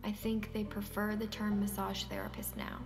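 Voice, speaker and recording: A woman's voice: mid-range voice, completely flat delivery, moderate pace, vocal fry, close to an everyday voice. A woman acting a caring, persistent character who coaxes and probes in naturalistic stage-play dialogue, sometimes hesitantly. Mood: coaxing, probing. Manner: coaxing, probing, hesitant at times. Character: Sonia, caring, persistent woman nudging a withdrawn man. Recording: roomy sound with music behind.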